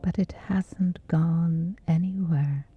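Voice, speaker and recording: A woman softly guiding a scripted sleep meditation in a breathy, gentle, soothing hush with long pauses. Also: on the low side, steady tone, lo-fi sound, soft background music. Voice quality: breathy, whispery soft.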